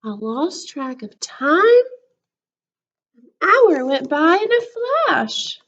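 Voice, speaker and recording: Woman doing a kids read-aloud, high voice, slow n expressive, kinda dull lo-fi recording. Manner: animated, acting out the story. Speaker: school counselor reading a picture book aloud.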